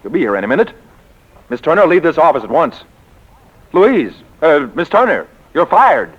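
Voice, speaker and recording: A man's voice: fairly high pitch, big pitch swings, moderate pace, slightly rough. An actor playing an exasperated, sputtering male publisher in a 1940s radio comedy-drama. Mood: indignant. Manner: indignant, sputtering, stammering. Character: flustered, exasperated male book publisher and boss. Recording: muffled old 1940s radio recording.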